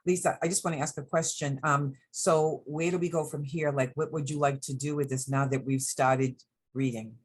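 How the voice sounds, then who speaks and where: A man's voice: on the high side, fairly even intonation, moderate pace, slightly rough. A man, an actor on a panel, giving casual feedback and asking questions in conversation. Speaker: actor on a script-reading panel. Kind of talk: casual discussion.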